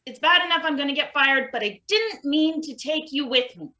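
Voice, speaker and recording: A woman's voice: high-pitched, expressive, normal speed, sharp tone. A woman playing a guilt-ridden, weary, anxious maintenance worker in a play reading, earnest and pleading. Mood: guilty, weary. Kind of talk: live table read of a satirical stage play.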